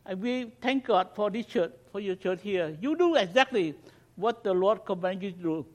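A middle-aged man with a Cambodian accent, a native Khmer speaker, giving an earnest mission presentation at a church in halting English, with rhetorical questions to the congregation. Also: high-pitched.

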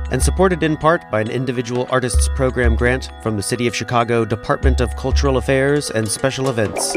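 Male announcer over music. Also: mid-range voice. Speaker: announcer reading credits. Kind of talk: scripted announcement.

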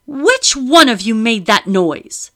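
A woman reading an audiobook and voicing a haughty, imperious lady with a crisp, indignant manner. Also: a little high, sing-song, moderate pace, bright, forceful tone, voice pushed up.

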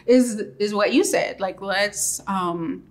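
A lively woman co-host who laughs readily and jumps up in pitch when something amuses her. Her speaking voice is otherwise middling, and she chats with a playful, sermon-flavored edge. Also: slow. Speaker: podcast co-host. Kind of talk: casual podcast chat.

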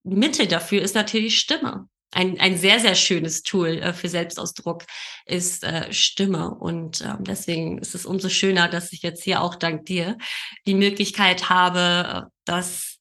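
A woman's voice: fairly low pitch, fairly even intonation, unhurried, bright, forceful tone. A woman in her thirties from Berlin, Germany, talking casually as a podcast host in a conversational style. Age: 30s.

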